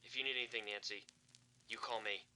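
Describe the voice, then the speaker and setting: An actor playing a stern, protective park ranger with an urgent, commanding manner in a found-footage horror drama. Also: male voice, fairly low pitch, fairly even intonation, fast talker, sharp tone, low-quality recording. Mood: urgent, pleading.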